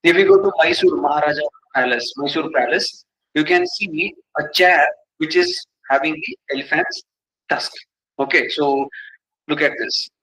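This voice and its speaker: A man's voice: a little high, big pitch swings, normal speed, fairly bright tone, rough recording. A man with an Indian English accent teaching an exam coaching class in a didactic way, repeating key terms and asking rhetorical questions. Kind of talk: classroom lecture.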